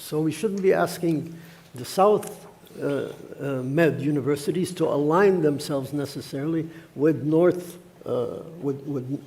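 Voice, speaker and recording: A male panelist speaks in a fairly high voice. He is assertive but deliberate, pausing to choose his words. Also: darkish tone. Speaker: panelist, university representative. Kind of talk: panel discussion.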